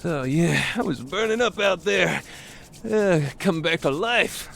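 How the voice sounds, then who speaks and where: A man's voice: high-pitched, lively intonation, measured pace, gravelly, fairly bright tone, full character voice. A man playing a blunt, slouchy young geek in an anime visual novel fan dub, casual and unimpressed, on a slightly noisy recording. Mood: relieved.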